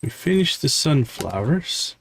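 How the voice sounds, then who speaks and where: A man's voice: mid-range voice, big pitch swings, measured pace, gravelly, slight background noise. A man giving casual, chatty livestream game commentary, playful and given to singsong humming and repeated words. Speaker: livestream gamer host.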